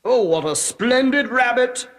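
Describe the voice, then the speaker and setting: A man playing a jovial, excitable, gracious king in a children's cartoon, exclamatory and grandly polite. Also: very high-pitched, a little slow, exaggerated voice. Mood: delighted. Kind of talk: dubbed children's cartoon acting.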